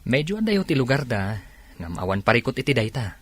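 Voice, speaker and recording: A man acting an attentive, gently reassuring husband in an Ilocano radio drama, with a Filipino Ilocano accent. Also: mid-range voice, sing-song, brisk, husky, dry recording. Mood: explaining.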